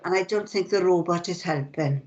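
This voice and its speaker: A woman's voice: fairly low pitch, moderate pace, darkish tone. A woman with a Welsh accent, a panel member making a plain-spoken comment in an online meeting. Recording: dull video-call audio.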